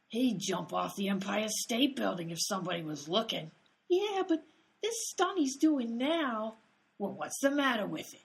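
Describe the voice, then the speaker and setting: A woman's voice: high-pitched, creaky, full character voice. A woman voicing brassy, gossipy 1920s theatre spectators with slangy wisecracks in a radio comedy. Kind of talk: old-time radio comedy acting.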